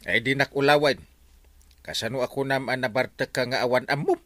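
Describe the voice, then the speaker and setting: An actor with an Ilocano accent playing a flustered, stammering village captain in a radio comedy drama. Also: male voice, medium pitch, steady tone, fairly quick, sharp tone, no echo, clean studio sound, natural voice. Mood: questioning, exasperated. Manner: stammering, whiny, exclaiming. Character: flustered, excitable village captain, comic middle-aged man.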